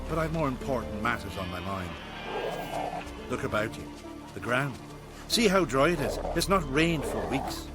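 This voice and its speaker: An actor playing a jovial, worldly-wise druid in a video game, speaking in an expressive, theatrical way. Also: male voice, medium pitch. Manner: expressive, theatrical, good-humored. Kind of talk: scripted video game acting.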